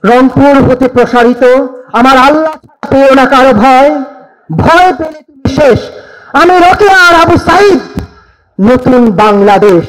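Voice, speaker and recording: A man's voice: very high voice, unhurried, background noise. A young man with a Bangladeshi accent gives an impassioned, declamatory recitation of patriotic poetry. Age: young adult.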